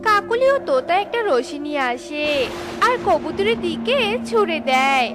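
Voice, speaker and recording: A woman narrating a children's cartoon story in a lively, sing-song way, with a Bengali accent, over children's music. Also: very high-pitched, normal speed.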